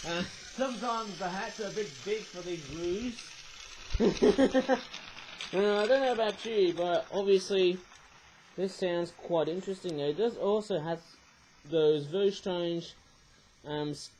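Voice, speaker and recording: A young man with a croaky voice, rambling hesitantly as he hosts a casual crafting vlog, full of filler phrases. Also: on the high side, poor audio. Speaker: craft channel host.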